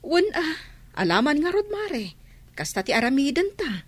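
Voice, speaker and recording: A woman with a husky voice playing an eager, agreeable family friend in a drama. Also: sits high, very animated, moderate pace. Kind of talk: radio-style drama dialogue.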